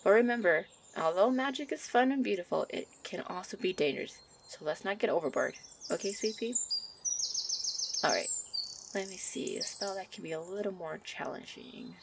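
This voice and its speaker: A woman voicing a shy, gentle young nature fairy who teaches affectionately in a soft ASMR roleplay. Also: medium pitch, lively intonation, normal speed, creaky, slight background noise. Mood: encouraging, playful. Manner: soft, encouraging, affectionate, giggly. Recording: birdsong and outdoor ambience behind. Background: birdsong ambience.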